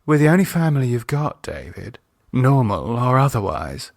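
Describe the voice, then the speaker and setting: A man voicing a composed, teasing woman with a sharp edge and a polite, ironic manner in an audiobook. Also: medium pitch, big pitch swings, normal speed, gravelly, dry recording, clean studio sound. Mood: matter-of-fact. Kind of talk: audiobook character dialogue.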